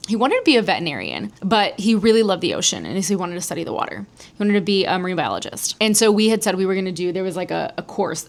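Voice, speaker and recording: Chatty, candid American woman storyteller. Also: on the low side. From New Jersey, United States. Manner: chatty, candid, swears casually. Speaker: podcast host. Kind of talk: casual personal storytelling, solo podcast.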